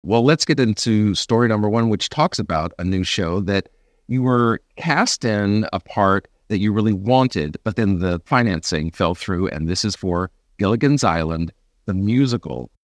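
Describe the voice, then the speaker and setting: Low-voiced man hosting, very dry sound. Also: moderate pace, no echo. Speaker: interview show host, stage performer. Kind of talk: conversational interviewing.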